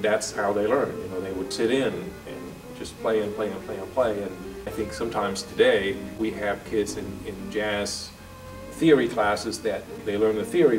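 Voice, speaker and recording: Danish guy, 40s, kinda low voice, laid back with lots of uhs, mic far off in a room. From Denmark. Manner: relaxed, thoughtful, pauses with fillers. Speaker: guest jazz violinist. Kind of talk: casual interview answers. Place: office room, indoors.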